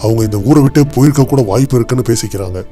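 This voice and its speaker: A man plays a formal man of authority in an old kingdom, for a cartoon folktale. The voice is mid-pitched and mellow, with measured dignity and faint music underneath. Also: close to an everyday voice. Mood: puzzled, serious. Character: authoritative officials and king of an old Indian kingdom. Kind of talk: acted dialogue in animated moral tale.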